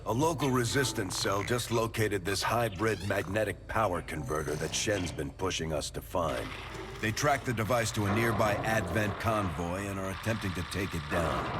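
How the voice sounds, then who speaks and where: Game military commander's deputy male actor: low pitch, terse, commanding, no-nonsense, over loud music. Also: lightly acted. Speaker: military operations officer. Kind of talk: video game cutscene acting.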